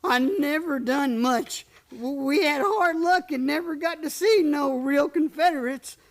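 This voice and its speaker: A woman acting a simple, gentle drifter man and former soldier in a Western radio play, with naive, folksy American speech. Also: high-pitched, a little slow. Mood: wistful, plain. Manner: naive, plain-spoken, folksy rural speech.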